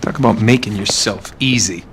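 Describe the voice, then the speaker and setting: An actor playing a sarcastic, sceptical American treasure hunter in a video game cutscene. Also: male voice, on the low side, moderate pace, noisy background, natural voice. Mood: wry. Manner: sarcastic, wisecracking.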